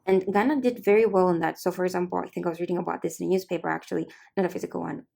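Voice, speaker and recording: A woman's voice: on the low side, steady tone, racing, vocal fry, dark tone. A young woman with an East African accent, hosting a casual talk show and explaining a topic in a chatty way, often correcting herself and laughing at her own slips. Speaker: host.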